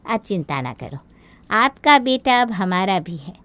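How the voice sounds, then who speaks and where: A woman's voice: mid-range voice, sing-song, unhurried, a bit bright, no room sound. A woman voicing an earnest middle-aged mother of a bride, eager to please, obliging and insistent, in a Hindi cartoon story. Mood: insistent, reassuring.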